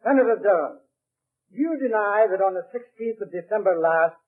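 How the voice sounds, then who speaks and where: Thin, muffled vintage radio sound frames an actor as a male prosecutor with a very high voice. He is emphatic and smug, delivering formal courtroom oratory in old radio-acting style. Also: a little fast, lightly acted. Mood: accusing, emphatic. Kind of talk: 1930s-40s radio drama acting. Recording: muffled, narrow-band vintage radio recording.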